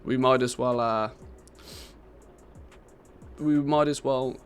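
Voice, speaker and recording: A man's voice: mid-range voice, fairly even intonation, slow pace, faint music behind. A British man chatting casually on a live game stream, reading out and answering viewers' questions with easy laughter. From Britain.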